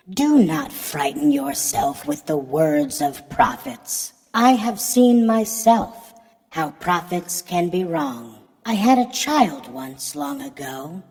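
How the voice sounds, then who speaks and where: A woman playing a calm, soothing queen with a gentle, measured and formal manner in a storybook tale. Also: mid-range voice, slightly rough, in a large hall, exaggerated voice. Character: calm, soothing queen reassuring her husband.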